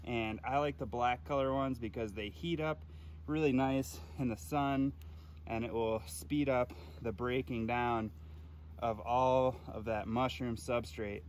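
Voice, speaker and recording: A man in his thirties, a mushroom farmer, giving a casual, unhurried tour of his garden with plenty of fillers, recorded outdoors on a camera mic. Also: medium pitch, steady tone. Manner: slow, unhurried, with frequent fillers. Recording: outdoor street noise behind.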